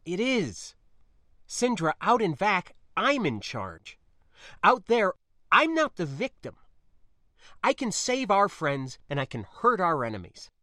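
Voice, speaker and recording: A man's voice: sits high, wildly swooping pitch, slow, sharp tone, dry recording, studio quality, voice pushed up, exaggerated voice. A man voicing a stubborn, wry space pilot in an audiobook, colloquial, defensive and insistent. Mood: determined.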